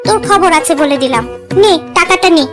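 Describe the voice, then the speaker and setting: Woman as scolding mother, exasperated, very high voice, children's cartoon, music behind. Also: expressive, fairly quick.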